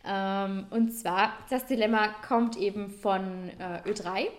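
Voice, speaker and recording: Austrian woman co-hosting a podcast, in her twenties or thirties, chatty and loose, full of little fillers and restarts, at an ordinary middle pitch. Conversational and relaxed in delivery. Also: slow pace. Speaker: podcast co-host. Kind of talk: casual chat.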